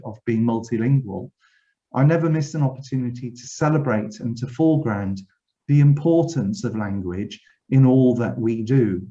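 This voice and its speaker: Welsh man, formal speech, reflective, frequent restarts, mellow voice, dull webinar sound. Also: medium pitch. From Wales. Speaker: university director general giving a webinar address. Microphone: video call.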